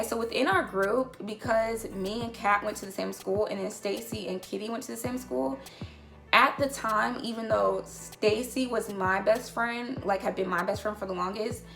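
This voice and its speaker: A young woman with a naturally crackly, gravelly voice tells a long story in her home kitchen, chatty and loose, dropping casual swears and plenty of fillers. Her pitch sits in the middle for a woman. Music plays clearly behind her. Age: young adult. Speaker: vlogger.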